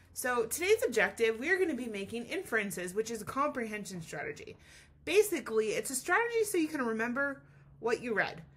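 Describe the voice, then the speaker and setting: Higher-voiced woman teacher reading to children. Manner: expressive, explaining simply to children. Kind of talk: children's read-aloud lesson with explanations.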